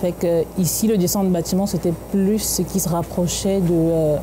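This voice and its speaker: A woman's voice: on the low side, fairly even intonation, moderate pace. A woman in her twenties from Cameroon with a Cameroonian French accent, a composed guest on a talk show. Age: 20s. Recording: noisy background.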